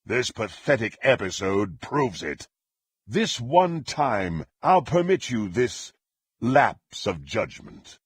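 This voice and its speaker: An actor playing a power-hungry, contemptuous dark warrior in a fantasy video game, with a gravelly, husky voice and haughty, theatrical, archaic phrasing. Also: male voice, mid-range voice, sing-song, slow, no room sound, exaggerated voice. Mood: furious, threatening.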